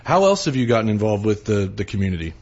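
Male radio host, relaxed and conversational, husky tone, muffled recording. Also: fairly low pitch, fast. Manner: relaxed, chatty, says "man" often. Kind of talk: casual interview chat.

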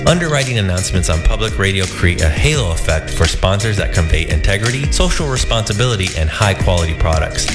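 Deep voiced guy reading a radio sponsor spot, loud instrumental bed behind. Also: reverberant. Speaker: station announcer. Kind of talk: scripted sponsorship ad read. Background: loud instrumental music.

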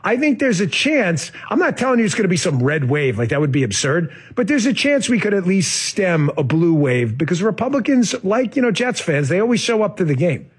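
A man from New York hosting a political talk radio show, rambling with hesitant restarts before lively stories. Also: sits high, brisk, hardly any pauses, breathy edge.

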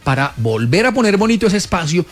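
A man's voice: sits high, big pitch swings, quick pace, faint music behind. A Colombian man hosting a morning radio show, chatting with listeners in a playful, colloquial, exclamatory way.

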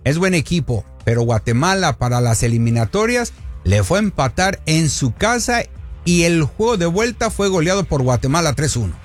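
A man banters through a sports talk show, joking and teasing as he answers viewer comments. His pitch swoops animatedly and sits a little high. Faint music plays underneath his voice. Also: big pitch swings, moderate pace. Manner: playful, teasing, jokes and laughs. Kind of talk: casual sports chat reading viewer comments.

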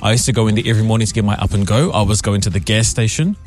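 A New Zealand man from Auckland hosting a radio show, telling stories in an animated, chatty way. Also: on the low side, rapid-fire, faint music behind. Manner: animated storyteller. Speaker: radio host. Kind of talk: casual radio chat. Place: radio studio.

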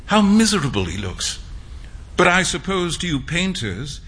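Man reading as a soft-hearted young gent in a storybook, gentle earnest voice, slightly husky. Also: high-pitched, very animated, normal speed, pitched above the performer's own voice, full character voice. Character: kind-hearted young gentleman, easygoing and generous.

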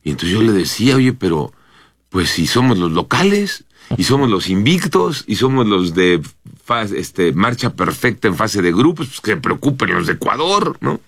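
A man's voice: on the low side, sing-song, moderate pace, a bit bright, no echo, clean studio sound. A man with a Mexican accent hosting a morning news radio show, chatting with opinions and often checking that his co-host agrees.

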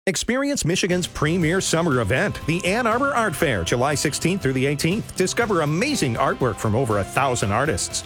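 A man with a somewhat high voice giving an enthusiastic radio promo, his delivery very animated. Faint music sits underneath. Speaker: commercial announcer. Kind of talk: scripted radio ad read.

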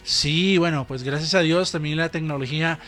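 Earnest Costa Rican man, higher voice. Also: fairly bright tone, soft background music. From Costa Rica. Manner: earnest, reflective, halting with pauses. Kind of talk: interview answers.

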